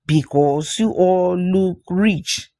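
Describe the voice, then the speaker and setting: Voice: medium pitch, very slow, mellow, no echo. A storyteller voicing a small, candid, proud village boy in a folktale, with frank and earnest child talk.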